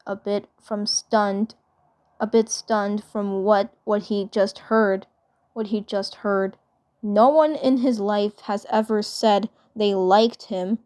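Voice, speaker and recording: Slow-paced woman narrating a story. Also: medium pitch, darkish tone. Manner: often repeats phrases. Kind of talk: fan fiction narration.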